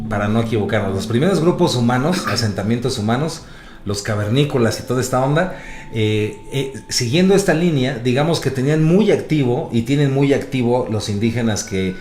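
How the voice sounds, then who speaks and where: A man's voice: mid-range voice, animated, brisk, darkish tone, echoey room. A man, co-hosting a casual panel talk, speaking in a thoughtful, hesitant way. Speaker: podcast co-host.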